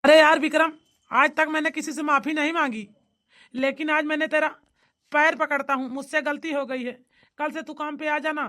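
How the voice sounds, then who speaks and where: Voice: sits high, little pitch movement, moderate pace, pushed and bright. A performer voicing an anxious company boss in a cartoon comedy, speaking in an emphatic, pleading manner. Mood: apologetic.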